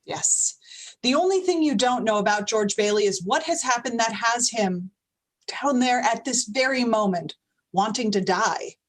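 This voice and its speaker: A woman plays a patient heavenly boss telling a tale in a radio drama. Her voice sits a little high, with a conversational, explanatory delivery. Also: animated, normal speed. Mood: grave. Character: wise head angel, patient storytelling boss. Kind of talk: radio play storytelling narration.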